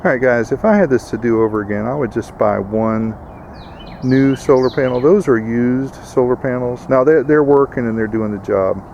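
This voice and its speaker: A man's voice: mid-range voice, normal speed, vocal fry, a little mellow, a little hiss or hum. A man giving a casual, plainspoken instructional vlog in a folksy way, like a host showing viewers his homemade setup. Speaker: host of homesteading video channel.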